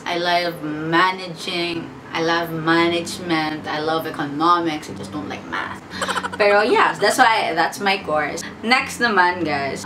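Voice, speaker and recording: A woman in her twenties with a Filipino accent, chatting playfully in a sit-down question-and-answer vlog, mixing English and Tagalog. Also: on the low side. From Philippines. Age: 20s. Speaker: vlogger. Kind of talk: casual sit-down Q&A chat. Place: at home. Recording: distant mic with background noise.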